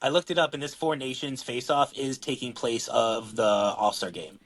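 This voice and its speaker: Laid-back male podcast co-host, mid-pitched, easygoing rambling delivery with casual swearing. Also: fairly even intonation, normal speed, fairly bright tone.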